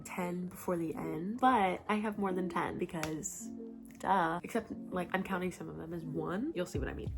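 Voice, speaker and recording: A young woman talks through her reading list in a loose, rambling way, with lots of "like" and quick laughs, her voice pitched low. She sounds a little stuffed up from a cold. Light music plays under her voice the whole time. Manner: chatty, filler-heavy, giggly asides. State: slightly congested from a cold. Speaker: book vlogger. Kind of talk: casual chat.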